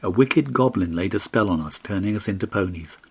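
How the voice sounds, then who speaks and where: A man's voice: on the low side, brisk, gravelly, husky. A man voicing a magical talking pony, really an enchanted prince, giving commands and questions in a storybook. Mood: solemn explaining.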